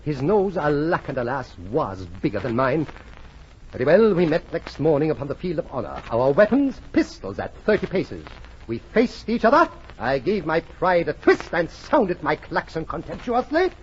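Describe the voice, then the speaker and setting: An actor playing a vain, pompous English gentleman in florid, theatrical 1940s radio style, on a muffled old recording. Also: a little high, very animated, moderate pace, slight background noise. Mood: rueful. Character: vain, pompous English gentleman boasting of his enormous nose. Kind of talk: 1940s radio acting, comic first-person narration.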